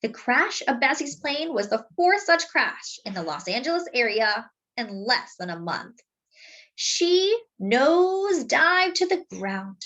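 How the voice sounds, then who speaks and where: Lady doing a kids read-aloud, highish voice, really animated, draws words out for drama. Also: highs cut off. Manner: dramatic, deliberate phrasing with drawn-out words for emphasis. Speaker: storyteller reading a picture book aloud. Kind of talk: expressive children's read-aloud narration.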